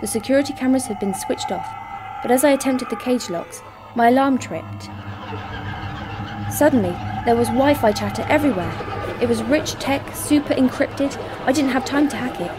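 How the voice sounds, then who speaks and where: A woman's voice: sits high, normal speed, music in the background, natural voice. A woman playing a tough, tense heist operative who narrates her own story in a radio drama. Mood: tense narration. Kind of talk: radio drama first-person narration with acted dialogue. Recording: noisy recording with vehicle ambience.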